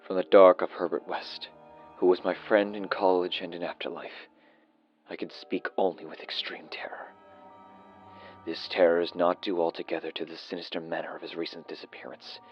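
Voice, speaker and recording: A man playing a haunted doctor, solemnly recounting horrors in a measured, dread-laden gothic dramatic reading. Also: deep voice, little pitch movement, lightly acted. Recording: dull audio with faint music.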